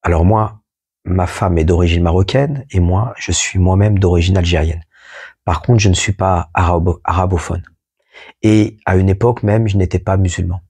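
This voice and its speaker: Male host, low husky voice, slow measured poetic reading with asides. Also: fairly even intonation, darkish tone. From France. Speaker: podcast host. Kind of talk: reading a poetic first-person text aloud.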